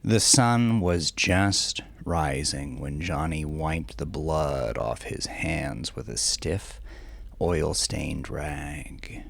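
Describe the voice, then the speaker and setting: A man narrating his own dark novel like a dramatic audiobook, brooding and deliberate, with gravelly phrases that often sink toward breathiness. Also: rumbling low. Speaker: novelist reading own novel, podcast host. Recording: faint music bed under voice. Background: faint music.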